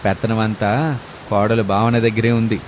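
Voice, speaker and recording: A man's voice: lowish voice, flat delivery, moderate pace, a little hiss or hum, close to an everyday voice. A man voicing a resigned, kindly old village father in a story, with a rueful manner.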